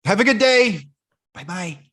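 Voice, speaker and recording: A man playing an eager, apologetic office worker, stammering and excitable, in a script read. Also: sits high, a little slow, heavily acted. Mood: polite, hurried. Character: jittery, eager young male office worker, energized and apologetic. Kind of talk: screenplay table read.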